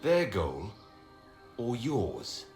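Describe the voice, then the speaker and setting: A man's voice: lowish voice, wildly swooping pitch, extremely slow, a little mellow, heavily acted. An actor playing a questioning, pragmatic fantasy hero leading his party in a video game. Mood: wary. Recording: roomy echo, music behind.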